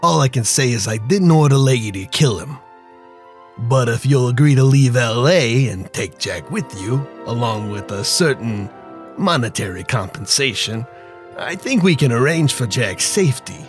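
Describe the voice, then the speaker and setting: A man playing a coldly threatening, businesslike crime boss in a noir radio drama, speaking formally and deliberately. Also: mid-range voice, animated, soft background music, natural voice. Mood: coolly menacing.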